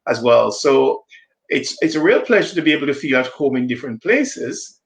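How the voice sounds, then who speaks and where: A man from Jamaica talks through a video call in a reflective, halting way, often circling back to restart a thought. His voice has a gravelly, creaky edge at an ordinary middle pitch. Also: very animated, roomy sound. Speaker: diversity consultant guest. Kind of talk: conversational live-stream discussion.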